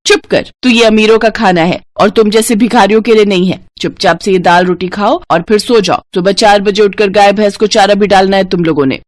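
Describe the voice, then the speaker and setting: A woman's voice: medium pitch, steady tone, a little fast, hardly any pauses, no room sound, lightly acted. Storybook villainess played by a female narrator: greedy, scornful mistress of the house, bossy delivery, close dry sound. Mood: harsh. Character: mean, greedy rich mistress, merchant's bossy wife. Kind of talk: moral story villain acting.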